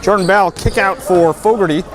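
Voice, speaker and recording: High-pitched man calling basketball live. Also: normal speed. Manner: excitable, rises in pitch on big plays, light jokes. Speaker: play-by-play announcer. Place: high school gym during a game. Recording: loud gym noise under commentary. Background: crowd and bouncing basketballs.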